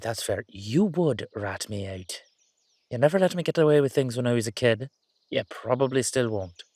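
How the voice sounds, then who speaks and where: Casting: male actor as youthful Dullahan boyfriend, Irish accent, mid-pitched, affectionate, teasing, rambling; intimate roleplay audio, dry recording. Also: fairly bright tone, no room sound, close to an everyday voice.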